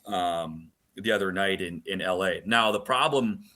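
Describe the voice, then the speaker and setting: A man with a fairly low, very bright and forceful voice talks sports as a radio guest. He speaks casually, doubling back on words as he goes and dropping in colorful slang. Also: unhurried. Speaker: radio play-by-play announcer as guest.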